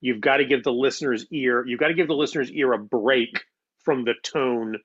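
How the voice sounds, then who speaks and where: A man's voice: mid-range voice, a little fast, a bit bright, husky, dry recording, highs cut off. A man giving conversational interview answers as a writer, explaining articulately and repeating phrases for emphasis.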